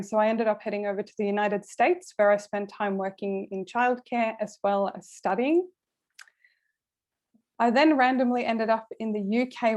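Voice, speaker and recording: A woman's voice: medium pitch, a little mellow. A middle-aged woman from Sweden, a career coach presenting an online masterclass to a live group in an encouraging, direct way.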